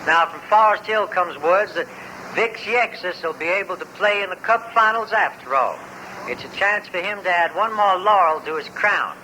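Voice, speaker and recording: A man's voice: sits high, moderate pace, sharp tone. A man giving a sports report as a radio sportscaster in a vintage style. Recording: faintly noisy old radio broadcast.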